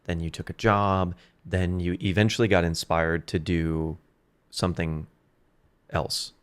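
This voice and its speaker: A man's voice: deep voice, little pitch movement, a little slow, clear, resonant tone. A man in his thirties interviewing casually as a podcast host, speaking close to the microphone.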